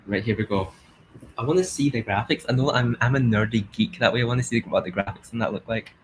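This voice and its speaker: A young man with a Glasgow-area Scottish accent, chatting excitedly and laughing as he comments on a live stream. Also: mid-range voice, fast, a little hiss or hum, low-quality recording. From Scotland.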